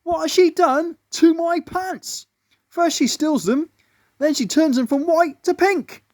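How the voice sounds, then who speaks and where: A storyteller voicing Itch, a furious little male red ant, in an indignant squeaky wail. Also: slow pace, a little mellow, dry recording, clean studio sound. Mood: outraged.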